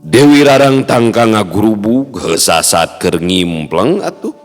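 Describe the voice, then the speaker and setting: A man narrating a traditional folk tale as storyteller, with a slow, melodic delivery. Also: on the low side, expressive. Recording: long reverb, faint music behind.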